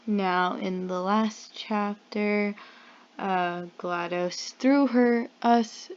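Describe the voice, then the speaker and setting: A woman's voice: mid-range voice, steady tone, extremely slow, clear, resonant tone. A woman hosting a gaming video, introducing a playthrough to viewers. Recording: dull lo-fi voice recording.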